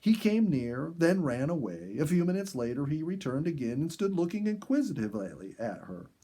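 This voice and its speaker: A man narrating a story in an earnest, dramatic storybook style with emphatic pauses. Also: fairly high pitch, big pitch swings, mellow, breathy edge. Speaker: audiobook narrator.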